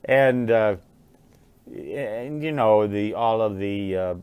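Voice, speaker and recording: Older american guy in his 60s rambling reflectively, really slow, kinda deep voice, stops and restarts words. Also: clear, resonant tone, no room sound, narrow, tinny audio. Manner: musing, halting, often repeating words. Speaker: vlogger, writer and ham radio hobbyist.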